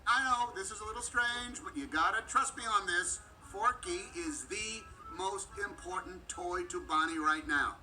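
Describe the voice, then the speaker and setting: A man voicing an earnest, protective cowboy toy in an animated film story, excitable and emphatic. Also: high voice, normal speed, few pauses, soft background music, low-quality recording. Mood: earnest, urgent.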